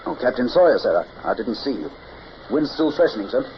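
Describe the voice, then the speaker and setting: An actor playing a grave, compassionate British naval lieutenant, guarded and clipped, in an old radio drama. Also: male voice, mid-range voice, sing-song, fairly quick. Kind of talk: mid-century radio drama acting. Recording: dull, noisy old radio recording.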